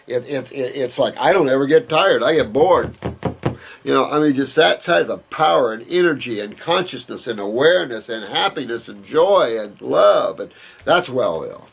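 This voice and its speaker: Gravelly, husky older man rambling. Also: medium pitch, expressive, moderate pace. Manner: rambling, emphatic, repeats words and restarts. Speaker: naturopath answering viewer questions. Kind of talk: casual Q&A talk, reading and answering letters.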